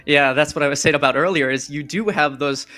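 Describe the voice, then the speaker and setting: High-voiced man hosting esports. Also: brisk, bright, forceful tone, faint music behind. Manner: jokey, self-deprecating, rambling. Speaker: esports broadcast host and caster. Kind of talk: casual live esports desk chat.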